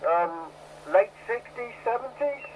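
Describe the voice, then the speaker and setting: A man's voice: extremely high pitch, lively intonation, measured pace. A man having a casual chat over CB radio. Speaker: CB radio hobbyist. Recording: noisy CB radio transmission.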